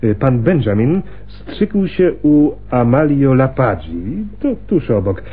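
A man's voice: mid-range voice, sing-song, takes it slowly, slightly rough, heavily acted. An actor playing a chatty, gossipy male pawnbroker in a radio play, garrulous and fond of telling stories. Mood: gossipy, amused. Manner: garrulous, chuckling storyteller. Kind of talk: Polish radio play acting. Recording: muffled, phone-like noisy radio recording.

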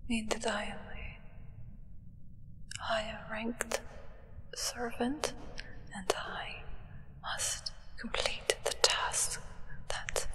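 A young woman playing a solemn, devoted vampire acolyte in a hushed, reverent ASMR roleplay whisper. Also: a little high, long reverb tail, full character voice. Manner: hushed, slow, reverent, deliberate. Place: indoors, small draped set.

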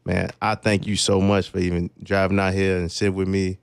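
A man's voice: sits low, little pitch movement, moderate pace, vocal fry, smooth, no echo. A young man hosting a casual radio interview, informal, slangy and affirming with his guest. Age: young adult. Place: radio studio. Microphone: close broadcast studio microphone.

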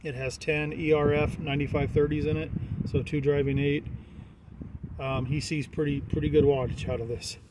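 A man's voice: a little high, little pitch movement, moderate pace. A man giving a casual, jokey walk-around commentary as an amateur video host, speaking informally with the odd swear word. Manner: informal, jokey, mildly profane. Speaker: amateur video host touring CB radio truck setups. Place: parking lot, in and around a pickup truck. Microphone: handheld phone or camera. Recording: noisy handheld outdoor and vehicle recording.

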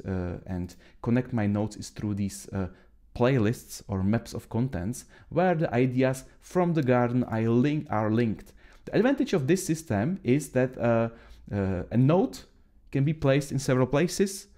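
A man in his thirties from the Czech Republic with a Czech accent, speaking English as a second language while walking through his note system in a screen-share tutorial, halting often with fillers and restarts. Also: on the low side, warm tone. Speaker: trainer.

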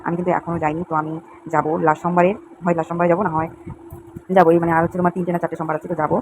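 A woman's voice: fairly low pitch, level tone, rapid-fire. A young woman chatting to viewers in a run-on, repetitive way as she narrates a casual home vlog. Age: young adult. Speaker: home vlogger. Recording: clearly noisy home recording, uneven volume.

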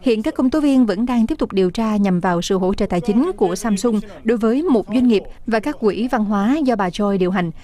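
A female news narrator with a warm, dark tone reads her script steadily. Her delivery stays measured and even. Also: mid-range voice. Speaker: news reporter.

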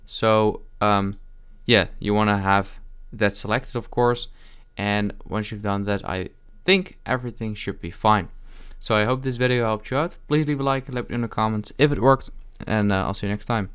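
Smooth, low-voiced man giving a tutorial. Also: moderate pace, fairly bright tone. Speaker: tutorial host. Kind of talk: casual screen-recorded software tutorial.

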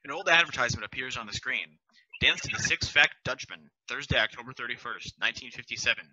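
A man's voice: medium pitch, fast, pushed and bright, no room sound, dull audio. Matter-of-fact man reading stage directions.

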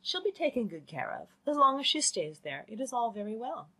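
Woman putting on a silly scheming middle-aged mum, gushing, pitched up and swooping all over, storybook style. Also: big pitch swings, fairly quick, sharp tone, slightly rough, exaggerated voice. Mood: breezy. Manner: gushing, eager, emphatic.

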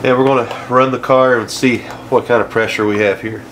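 A man with an average-pitched voice talks through a hands-on car repair in a casual, plain-spoken way. He speaks haltingly as he works over an engine bay. Clear background noise and tool clatter come through on the camera mic. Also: fairly even intonation, fairly bright tone, poor audio. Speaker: DIY car repair channel host.

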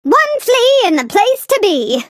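Alien flea queen mother, very high swooping voice, prim, haughty, doting, sing-song, video game character. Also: very animated, a little slow, dry recording, full character voice. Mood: playful. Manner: theatrical, sing-song, scolding and cooing.

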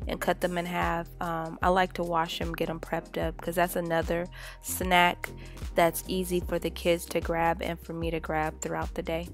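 A mom in her thirties talks through her cooking in a relaxed, rambling way, full of fillers. Her voice sits a little low for a woman. Soft music plays faintly underneath. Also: vocal fry. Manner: chatty and relaxed, many fillers. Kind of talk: casual cooking voice-over.

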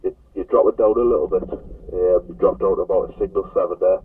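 A man with a husky voice chatting haltingly as a CB radio operator. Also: low-pitched, steady tone, no room sound. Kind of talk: casual radio contact chat. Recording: distant, thin phone-like radio signal.

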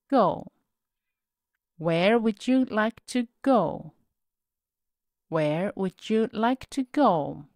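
A woman teaching set phrases in a slow drill, saying each word on its own and then the whole sentence. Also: fairly low pitch, no echo. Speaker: English teacher. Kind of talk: slow repeat-after-me drill reading.

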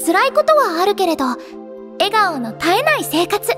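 A woman playing an earnest, expressive teenage girl idol in an anime audio drama. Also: extremely high pitch, unhurried, over music, full character voice. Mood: wistful narration. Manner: girlish, emotive, earnest. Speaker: voice actress, narrator and lead.

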